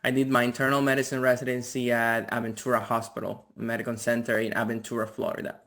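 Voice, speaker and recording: A man's voice: medium pitch, completely flat delivery, quick pace, fairly bright tone. A man in his thirties from the Dominican Republic, talking casually as an interview guest and often repeating words. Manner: repeats words, frequent "like" and "you know".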